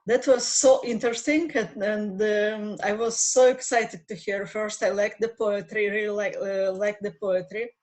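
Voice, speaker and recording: A woman's voice: mid-range voice, fairly even intonation, normal speed, hardly any pauses, a little mellow. Earnest woman on a video call. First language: Russian. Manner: earnest, searching for words. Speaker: participant. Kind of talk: casual online discussion.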